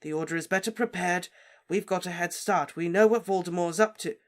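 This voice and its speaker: A woman voicing a calm grown wizard who speaks firmly and soothingly. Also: fairly low pitch, brisk, voice pushed up, close to an everyday voice. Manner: firm and soothing.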